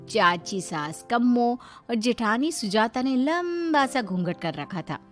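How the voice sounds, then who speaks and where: A woman reading a scripted moral story as its narrator. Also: on the high side.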